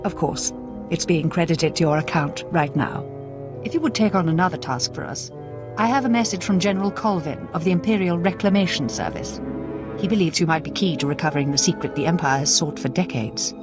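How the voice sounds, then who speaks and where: A woman's voice: fairly low pitch, brisk, close to an everyday voice. Casting: actress as efficient female military agent for a game, businesslike, polite, music underneath. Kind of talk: video game cutscene acting.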